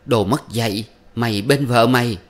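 A man's voice: mid-range voice, moderate pace, voice pushed up, natural voice. A man voicing a furious, domineering older mother-in-law who scolds and wails melodramatically. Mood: raging, wailing. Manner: scolding, wailing, self-pitying.

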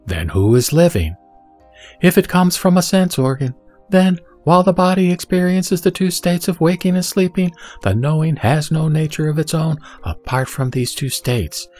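A man's voice: fairly high pitch, a little mellow. Man reciting scripture over music. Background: background music.

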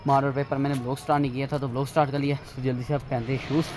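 A man's voice: medium pitch, little pitch movement, rapid-fire, darkish tone. A teenage young man with a Pakistani accent, narrating a casual travel vlog into a handheld phone, often repeating himself as he addresses viewers. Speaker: vlogger. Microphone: phone at arm's length. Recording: noisy handheld phone recording, ambient sound.

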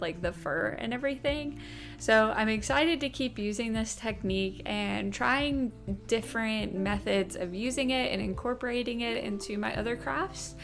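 A young woman hosting a casual crochet tutorial at home, chatty and upbeat, laughing often. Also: medium pitch. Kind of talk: casual step-by-step craft tutorial. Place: home craft room, indoors.

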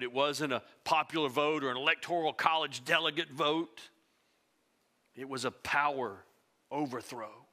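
A middle-aged pastor preaches from a church stage with an animated delivery. He swings between loud emphasis and hushed, near-whispered lines. His voice is bright and fairly high. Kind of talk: sermon.

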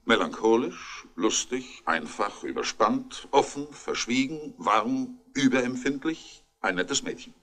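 An actor playing a chatty, wryly amused country doctor who thinks aloud and lists details, in a 1960s radio crime drama. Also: male voice, mid-range voice, lively intonation, measured pace, few pauses, a bit bright. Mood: matter-of-fact, musing.

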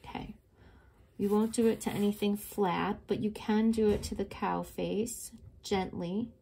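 A woman's voice: mid-range voice, steady tone, unhurried, creaky, mellow, slightly rough. Patient, encouraging woman art teacher. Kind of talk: step-by-step drawing lesson for kids. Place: indoors at a desk, overhead camera on the drawing.

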